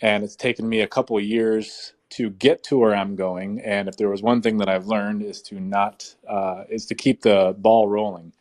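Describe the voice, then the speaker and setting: Easygoing man with a lowish voice. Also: moderate pace, few pauses. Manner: relaxed, easygoing, hesitant with fillers. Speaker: guest speaker. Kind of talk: casual chat.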